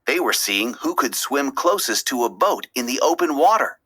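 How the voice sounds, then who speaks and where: Bright, animated woman reading a children's story. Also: extremely low pitch, moderate pace, husky. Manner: expressive, dramatic storytelling. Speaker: storybook reader. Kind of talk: scripted read-aloud narration of a children's story.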